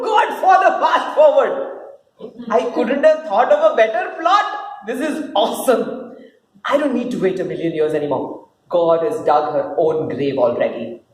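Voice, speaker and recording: A woman voicing a scheming, mocking serpent villain in a play reading, sarcastic and gloating. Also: on the high side. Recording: roomy echo with faint noise.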